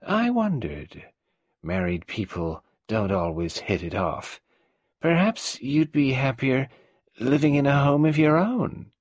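A man's voice: mid-range voice, very animated, slow pace, no echo, clean studio sound, voice pushed up. Brief: man reading as a venomous old matriarch, raised and sly, taunting, wheezy, swooping inflections. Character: malicious old domineering mother, woman.

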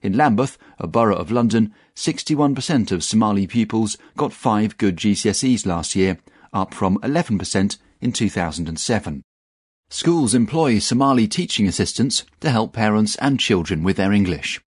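A man reading a news article aloud as narrator, in a measured, even way. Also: lowish voice, slightly rough, dry recording, studio quality. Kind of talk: scripted narration.

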